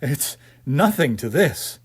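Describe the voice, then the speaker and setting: A man voicing a nervous character lost in the dark for a story, muttering hesitantly with uneasy bravado. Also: a little high, sing-song, slow, slightly rough, heavily acted. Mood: irritated muttering. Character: nervous man lost in darkness, forcing himself calm.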